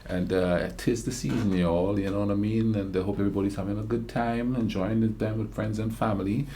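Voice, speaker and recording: Man from the Caribbean, reaction host, low warm voice, rambling casual chat. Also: brisk, slight background noise. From Caribbean island. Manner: relaxed rambling with fillers.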